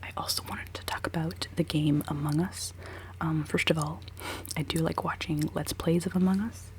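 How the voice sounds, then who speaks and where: A woman's voice: steady tone, moderate pace, a little mellow, slight background noise. A woman in her twenties whispering a rambling, giggly ASMR chat while she does her makeup. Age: 20s. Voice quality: decently low voice. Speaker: ASMR creator.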